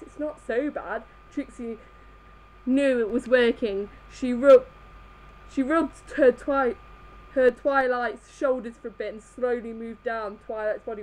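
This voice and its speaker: A woman's voice: on the high side, a little mellow, a little hiss or hum, low-quality recording. A woman reading fan fiction aloud live, stumbling over the text as she goes. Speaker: reader in a group comedy reading.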